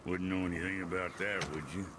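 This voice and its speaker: An actor playing a sardonic dwarf blacksmith in a video game, blunt and gravelly. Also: male voice, low voice, little pitch movement, fairly quick, noisy background, close to an everyday voice. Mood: teasing. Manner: blunt, sarcastic, needling. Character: brash, foul-mouthed, sardonic dwarf blacksmith. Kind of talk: cinematic video game dialogue.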